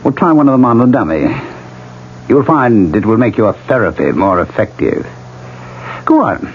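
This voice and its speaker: A man's voice: mid-range voice, sing-song, normal speed. An actor voicing the needling ghost of a dead man, wry and insinuating, in 1970s radio drama style. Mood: sly, coaxing. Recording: muffled, hissy 1970s radio recording.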